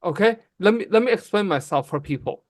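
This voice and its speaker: A man raised in Canada whose first language is Somali, arguing emphatically and quickly in a heated livestream debate, often calling the other person bro. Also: high-pitched, lively intonation, dry recording, studio quality. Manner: emphatic, fast, calls people bro. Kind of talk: heated casual argument.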